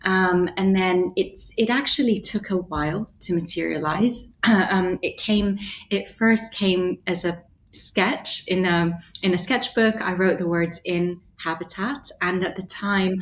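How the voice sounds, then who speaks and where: Low-voiced woman musing with many pauses. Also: a little hiss or hum. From Bahrain. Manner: thoughtful, hesitant with many fillers and restarts. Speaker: interior designer and studio founder, guest. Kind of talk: casual interview conversation.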